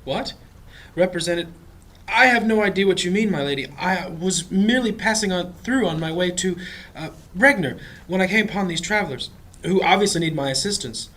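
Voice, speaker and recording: Humble village priest for fantasy audio drama: higher voice, devout, courteous, easily flustered. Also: male voice, normal speed, a bit bright. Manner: flustered, formal, deferential.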